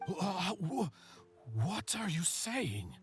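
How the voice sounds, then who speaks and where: A man's voice: high voice, wildly swooping pitch, slow pace, soft background music, exaggerated voice. A man playing a desperate murderer obsessed with his lost beloved in a video game, stammering and pleading. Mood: shaken, confused. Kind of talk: dramatic video game voice acting.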